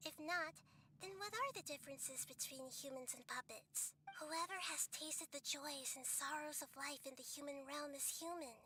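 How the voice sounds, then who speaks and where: A woman voicing a gentle, wise girl-like goddess in a game, soft and measured, speaking in calm philosophical questions. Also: sits high, slight background noise. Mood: gentle probing. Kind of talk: video game cutscene voice acting.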